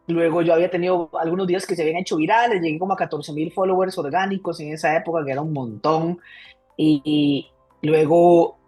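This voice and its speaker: A man in his thirties from Costa Rica, a comedian guest telling his life story in a casual, lively interview. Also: high-pitched, slightly rough. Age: 30s.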